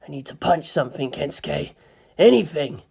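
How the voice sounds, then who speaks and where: A woman's voice: deep voice, lively intonation, normal speed, creaky, a little mellow, slightly rough, heavily acted. A woman voicing a hot-headed, self-blaming teenage schoolboy in a fan fiction reading. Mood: bitter.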